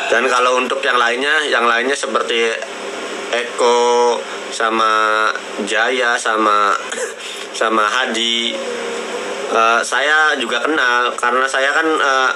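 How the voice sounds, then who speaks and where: A man's voice: mid-range voice, little pitch movement. A man chatting casually on a livestream, often repeating words as he recounts personal memories. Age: 20s. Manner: halting, repeats words and phrases. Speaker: livestream host. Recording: noisy live stream with clear background noise.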